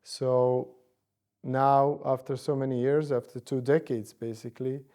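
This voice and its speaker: A man in his forties from Bosnia and Herzegovina, speaking, answers interview questions in a measured way, with frequent fillers and hedges. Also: mid-range voice, little pitch movement, dark tone. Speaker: interviewee, church commission director.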